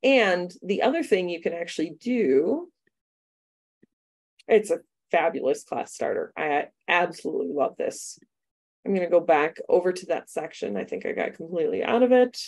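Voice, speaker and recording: A middle-aged woman from the United States talks teachers through a coding demo on a video call. Her voice sits a little low, and her manner is chatty and upbeat with frequent laughter. She sounds like she is in a home office on a webcam mic. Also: sing-song, moderate pace, a little mellow. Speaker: computer science teacher trainer. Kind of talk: webinar workshop demo.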